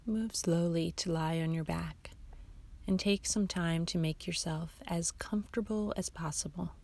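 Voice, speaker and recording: A woman guiding a meditation in a soft, soothing, hushed voice that turns breathy at times, with unhurried instructions and long pauses. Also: on the low side, fairly even intonation. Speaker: yoga nidra guide, host of the episodes. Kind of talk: guided meditation narration.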